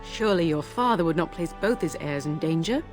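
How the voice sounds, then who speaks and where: A woman's voice: on the low side, expressive, a little fast, soft background music, natural voice. A woman playing a dry-witted, scolding young noble wife and mother in a video game. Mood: concerned.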